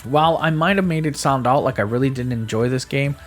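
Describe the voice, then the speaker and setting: A man's voice: mid-range voice, fairly quick, soft background music. A man giving a casual, conversational video game review, speaking emphatically and often stammering or repeating his words.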